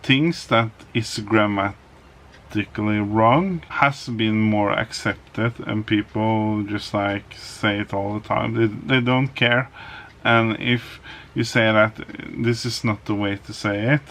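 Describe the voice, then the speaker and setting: A man with a Norwegian accent, a native Norwegian speaker, talking hesitantly in a casual vlog with many pauses and restarts. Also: fairly low pitch, steady tone, fairly bright tone. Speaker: vlogger.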